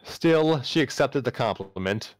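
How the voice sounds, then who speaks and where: A man's voice: medium pitch, expressive, measured pace. A man narrating a story aloud live, sometimes stumbling and rereading phrases. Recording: music playing behind the voice.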